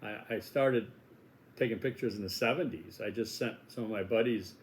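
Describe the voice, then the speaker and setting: A man's voice: on the low side, normal speed, slight background noise. Rambling older man with a Wisconsin accent. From Milwaukee, Wisconsin. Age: 60s. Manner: rambling, chatty, self-deprecating jokes. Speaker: college instructor. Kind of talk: casual course welcome video.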